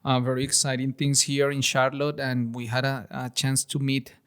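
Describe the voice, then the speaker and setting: A man hosting a podcast in a casual interview, friendly and encouraging with quick short replies. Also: mid-range voice, little pitch movement, fairly bright tone, clear, resonant tone. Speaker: podcast host.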